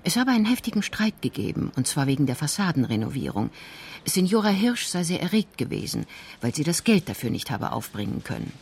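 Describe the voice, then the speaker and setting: A woman's voice: sits low, very animated, a little fast, darkish tone, husky. A woman narrating a scripted crime story for a radio play in a composed, precise manner.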